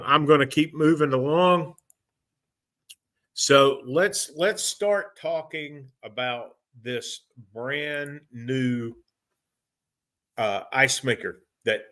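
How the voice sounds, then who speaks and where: A folksy middle-aged man at the front of a repair technicians' training class, haltingly talking colleagues through a job and circling back over his phrases. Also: mid-range voice, fairly bright tone. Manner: folksy, halting, repeats and rephrases himself. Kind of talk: informal training lecture.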